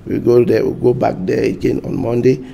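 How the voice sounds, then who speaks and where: Halting gravelly man. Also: mid-range voice, flat delivery, highs cut off, crowd noise. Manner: halting, repeats words, searches for English. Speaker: party spokesman. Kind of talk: studio interview answers.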